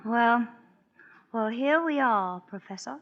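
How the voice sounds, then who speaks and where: A woman's voice: a little high, expressive, takes it slowly, faint music behind. An actress playing a spirited rich young society woman in a 1940s radio play, wistful and teasing. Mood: tipsy, teasing. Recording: dull old radio broadcast.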